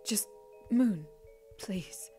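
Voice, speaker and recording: A woman's voice: medium pitch, expressive, drawn-out words, mellow, faint music behind. A woman playing a shy, anxious young female dragon in an audio drama, hesitant and polite. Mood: meek.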